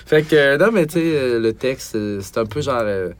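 A Quebec French man co-hosting a podcast in a plain mid-pitched voice. He keeps agreeing with the others and chats in an easy, casual way. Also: lively intonation, moderate pace, slight background noise. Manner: agreeable, frequent backchannel affirmations. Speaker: podcast co-host.

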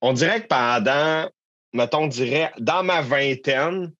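A man's voice: mid-range voice, a little slow, a bit bright, no room sound, muffled recording. Emphatic Québécois man in his thirties. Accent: Québécois French. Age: 30s. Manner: candid, emphatic, slangy. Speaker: podcast co-host. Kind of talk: casual business chat.

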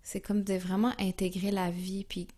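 A woman with a Quebec French accent speaking as an interview guest, calm and reflective, searching for her words. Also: mid-range voice, moderate pace. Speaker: interview guest, yoga and music teacher. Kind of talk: reflective, casual interview talk.

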